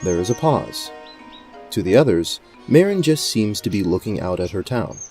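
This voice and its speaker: Male game master narrating fantasy, lowish pitch, dark mellow tone, soft music underneath.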